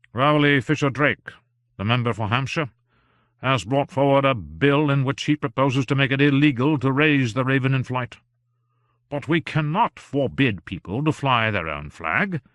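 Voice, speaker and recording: A man reading an audiobook, voicing an earnest male government gentleman who speaks emphatically and exclaims in surprise. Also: mid-range voice, no room sound, studio quality, close to an everyday voice.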